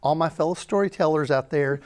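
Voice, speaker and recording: Higher-voiced male host reading a sign-off. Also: a little fast, darkish tone. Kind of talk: scripted show sign-off.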